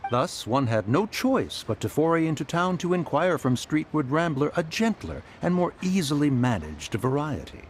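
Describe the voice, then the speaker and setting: Proud archaic mountain adeptus; expressive rise and fall, formal sighing delivery. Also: male voice, on the high side, moderate pace, few pauses, a little hiss or hum. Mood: stately. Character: proud old male mountain adeptus in human form. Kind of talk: game voice acting.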